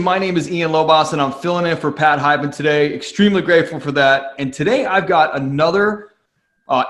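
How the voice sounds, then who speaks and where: A man's voice: on the high side, fairly bright tone. A man filling in as podcast host, introducing and interviewing a guest in an upbeat way.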